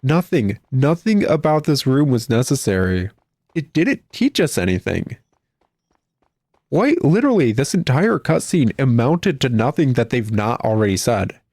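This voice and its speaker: A man's voice: medium pitch, very animated, unhurried, a little mellow, no echo, studio quality. A man giving a casual, blunt, sarcastic and exasperated critique while commenting on a video game. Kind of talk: casual game critique commentary, reading cutscene dialogue aloud.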